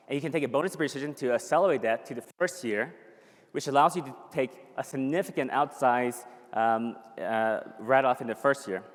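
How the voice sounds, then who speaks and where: A man in his thirties giving an upbeat conference presentation, pitching with some fillers and restarts. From born in a refugee camp in Thailand, raised in the US. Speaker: conference speaker. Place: conference hall. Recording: long hall reverb.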